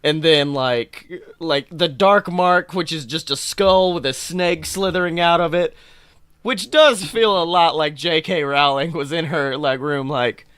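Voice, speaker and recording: A man co-hosting a casual film chat podcast, talking in a jokey, animated way and swearing freely. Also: fairly high pitch, measured pace, smooth. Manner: animated, jokey, acts out imagined dialogue. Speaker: podcast co-host. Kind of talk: casual chat about films.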